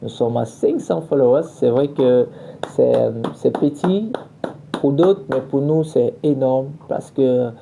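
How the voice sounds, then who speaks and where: Man with a very dark, gravelly voice. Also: medium pitch, takes it slowly, slight background noise. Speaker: podcast host, culture journalist. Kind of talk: semi-scripted radio-style tribute and news podcast.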